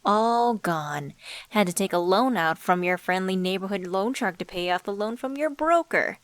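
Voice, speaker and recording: A woman playing an enigmatic, suave stranger in a scripted ASMR roleplay, speaking to the listener in a measured, teasing, condescending and knowing way. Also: mid-range voice, expressive, no room sound, natural voice. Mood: smug, taunting. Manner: measured, teasing, rhetorical questions, condescending endearments.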